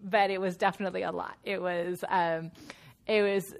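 A woman's voice: on the low side, flat delivery, normal speed, gravelly. A woman in her thirties leads a women's Bible study, her voice audibly nasal from a sinus infection. She talks in a loose, chatty way, laughing easily and restarting her sentences as she shares with the group. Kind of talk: casual talk to a women's group. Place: church meeting room.

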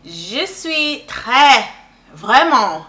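Young woman, native English speaker from Canada, beauty vlogger. High, very bright voice, slow and hesitant delivery, self-mocking and chatty. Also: big pitch swings. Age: young adult. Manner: halting, searching for words, laughing at herself. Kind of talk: casual makeup tutorial chat.

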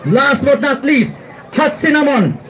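A middle-aged man giving live horse race commentary outdoors at a track, speaking into a handheld mic and rising to shouts as the horses run. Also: extremely high pitch, measured pace, a faint crowd, poor audio. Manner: excitable, rising to shouts at the finish. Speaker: race announcer. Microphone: handheld mic held close.

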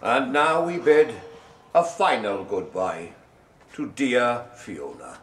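Radio drama role: solemn clergyman, very slow, declamatory eulogy in an echoey chapel. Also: mid-range voice, lively intonation, background noise. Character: solemn, pious clergyman conducting a funeral.

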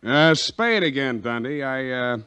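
A cynical, wisecracking private detective of 1940s radio. He speaks in a middle-pitched voice with a terse, tough, sardonic delivery and stays cool. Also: expressive, measured pace, bright, forceful tone, clean tone, dry recording. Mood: brisk.

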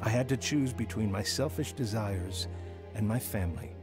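A man's voice: on the low side, normal speed, music in the background, natural voice. An actor playing a regretful married schoolteacher and father telling his life story in a radio drama. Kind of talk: radio drama narration and dialogue.

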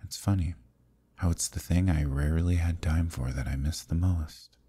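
A man playing an ancient, imprisoned eldritch villain in an ASMR roleplay, seductive and lonely, with a deep, breathy voice and an intimate, teasing manner. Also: normal speed, warm tone, exaggerated voice. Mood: wistful, teasing. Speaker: voice actor.

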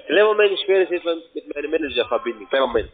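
A man's voice: a little high, big pitch swings, rapid-fire. A man making a cold sales call as a call-center agent. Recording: tinny phone line with music behind.

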